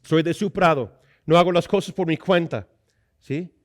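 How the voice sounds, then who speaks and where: A man's voice: on the high side, normal speed. A man from the American Midwest with an American accent, a native English speaker, preaching in an explanatory way with frequent little checking questions. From Iowa, United States. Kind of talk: sermon.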